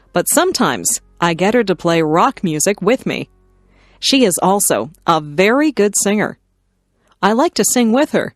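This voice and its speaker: A woman narrating simple scripted stories for language learners, reading in careful, clearly separated phrases. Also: mid-range voice, no echo. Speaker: narrator of an English listening lesson. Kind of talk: scripted narration, read-aloud beginner stories.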